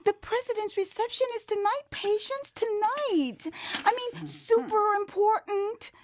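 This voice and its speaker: A woman's voice: extremely high pitch, a little slow, voice pushed up. A woman voicing a flustered young American volunteer, stammering and begging emphatically in an audio drama. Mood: pleading, frantic.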